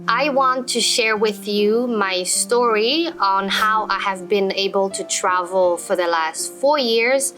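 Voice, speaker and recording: A woman's voice: medium pitch, slow pace, few pauses, fairly bright tone. Woman vlogger from Belgium over background music. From Belgium (born in Morocco). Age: 30s. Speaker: travel vlogger and business coach. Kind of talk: unscripted personal story to camera.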